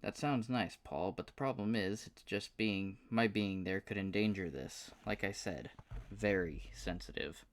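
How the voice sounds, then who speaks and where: A man's voice: fairly low pitch, measured pace. A man voicing a confident, secretive male scientist who speaks matter-of-factly and persuasively.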